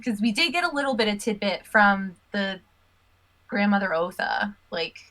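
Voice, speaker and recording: A woman with a bright, clear voice talks loosely about her tabletop character. Her talk is casual with mild swearing, at a middle pitch. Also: animated, moderate pace. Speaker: tabletop game player. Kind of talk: casual chat about a game session.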